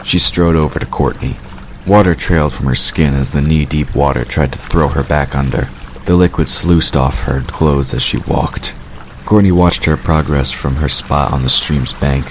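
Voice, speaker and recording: A man reading scripted narration for a fantasy audio drama in a measured storytelling manner. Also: a bass voice, fairly even intonation, slight background noise, rough recording.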